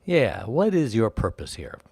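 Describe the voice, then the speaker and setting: A man's voice: fairly low pitch, huge pitch swings, measured pace, creaky, darkish tone, husky. A man hosting a radio talk show with an enthusiastic, jokey manner, stretching words for emphasis. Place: Los Angeles.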